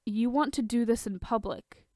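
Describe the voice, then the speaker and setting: A woman voicing a stern, humorless masked vigilante man in a deadpan, curt way, in a fan fiction reading. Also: on the high side, dry recording, clean studio sound, lightly acted. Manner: deadpan, curt, drawn-out delivery.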